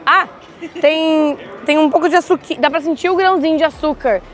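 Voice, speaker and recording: A woman's voice: high voice, fairly quick. A young woman, chatting casually in a travel vlog and describing how the food tastes. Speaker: vlogger.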